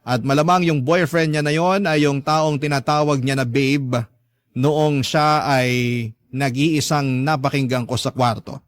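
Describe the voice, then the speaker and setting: Male radio narrator: slightly high voice, earnest first-person storytelling, dry studio sound. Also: steady tone, unhurried, dry recording. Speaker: narrator reading a listener's letter.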